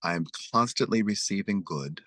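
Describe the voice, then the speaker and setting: A man leading a guided meditation and prayer, speaking slowly and soothingly as he leads spoken affirmations. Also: sits low, lively intonation, sharp tone, no echo, clean studio sound. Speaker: spiritual meditation leader.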